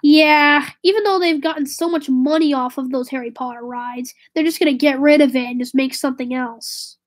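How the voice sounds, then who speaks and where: High-voiced streamer, raspy with a cold. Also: female voice, normal speed. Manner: rambling, self-correcting, drifts to a whisper. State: slightly raspy, stuffy nose from a cold. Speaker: live streamer. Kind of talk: casual live stream chat, answering viewers.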